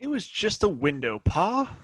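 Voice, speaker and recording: An actor playing a defensive gunslinger son eager to please his father in a Western audio play, halting and protesting. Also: high-pitched, sing-song, a bit bright, breathy edge, heavily acted. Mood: sheepish. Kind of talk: Western audio drama acting.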